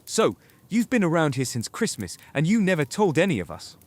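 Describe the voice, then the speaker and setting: A man's voice: on the high side, big pitch swings, brisk. A man playing a bitter, mistrustful wizard in an audio drama, accusing and curt. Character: bitter, mistrustful, hot-tempered wizard rebel. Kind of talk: fan audio drama acting.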